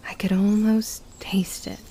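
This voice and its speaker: A woman's voice: medium pitch, flat delivery, a little slow, mellow, in a whisper, natural voice. A woman playing a guarded young witch who narrates her story with formal, old-fashioned phrasing in an audio drama. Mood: brooding, reflective. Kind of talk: scripted first-person audio drama narration.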